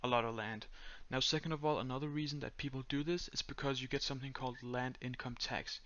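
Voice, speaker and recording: A young man with a Danish accent giving a step-by-step screen-recorded tutorial in a flat, monotone voice full of "uh"s and "um"s. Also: mid-range voice, fast, dull audio, a little hiss or hum. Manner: monotone, many fillers. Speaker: tutorial creator.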